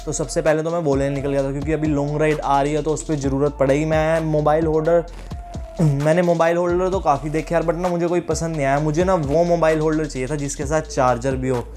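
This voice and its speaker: Higher-voiced man vlogging over music. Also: little pitch movement, fast talker, darkish tone. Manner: chummy, slangy, sprinkles English words into Hindi. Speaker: motovlogger. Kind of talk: casual vlog chat to viewers. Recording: music playing behind the voice.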